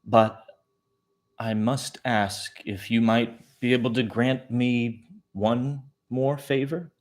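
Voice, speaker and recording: A man's voice: medium pitch, fairly even intonation, slow pace, a little mellow, lightly acted. A man voicing a small, humble talking animal in a storybook tale, speaking formally and respectfully with earnest pleading. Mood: grateful, humble. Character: small mouse turned cat, humble, grateful, pleading. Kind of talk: storybook folk tale character.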